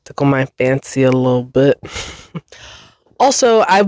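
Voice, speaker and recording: Slow, nasal-sounding podcast host, stuffed up. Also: male voice, medium pitch, sing-song, darkish tone, dry recording, clean studio sound. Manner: rambling, chatty, frequent self-amused laughs. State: congested from a cold, slightly nasal. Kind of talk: casual solo podcast chat. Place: basement room.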